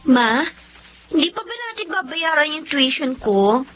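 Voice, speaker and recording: A woman's voice: high-pitched, big pitch swings, a little slow, a bit bright, soft background music, full character voice. A young woman playing an anxious, inquisitive student daughter in a Filipino radio drama. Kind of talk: Filipino radio melodrama acting. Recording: dull lo-fi audio, clear background noise.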